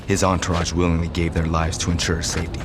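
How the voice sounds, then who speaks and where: Man playing an icy formal enforcer, very deep and flat, noisy game audio. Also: fast, lightly acted. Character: cold, formal male crime family enforcer. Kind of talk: video game cutscene acting.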